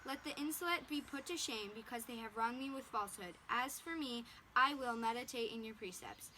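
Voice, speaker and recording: A woman's voice: fairly high pitch, brisk, bright, forceful tone, slight background noise, rough recording. A woman reading a passage of scripture aloud. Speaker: reader.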